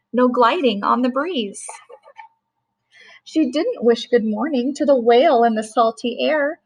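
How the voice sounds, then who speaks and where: Woman, middle-aged, high-pitched, reads rhyming picture book gently and rhythmically. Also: rough recording. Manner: gentle, sing-song rhyming reading. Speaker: teacher reading a bedtime story. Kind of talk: storybook read-aloud.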